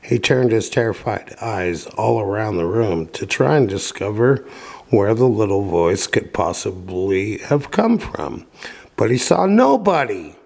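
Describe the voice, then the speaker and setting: Slow, gravelly male storybook reader. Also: fairly low pitch, expressive, a little mellow. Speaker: audiobook reader. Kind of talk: storybook narration.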